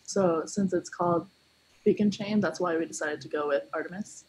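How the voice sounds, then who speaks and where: A woman's voice: on the low side, normal speed, darkish tone, slightly rough, low-quality recording. A woman who is a little sick, giving a developer team update on a video call. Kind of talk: status report on a video call.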